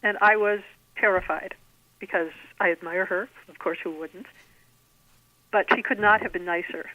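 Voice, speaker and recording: A woman's voice: mid-range voice, steady tone, moderate pace, no echo. A middle-aged woman, an author giving articulate, bookish, discursive answers in an interview. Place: remote call into a podcast. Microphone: telephone. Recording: narrow tinny phone line.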